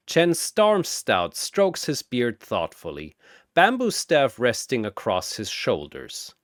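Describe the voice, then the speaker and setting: A man narrating a fantasy battle in a bombastic, theatrical style with drawn-out emphasis, like an arena announcer. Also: mid-range voice, huge pitch swings, a bit bright, no echo, studio quality.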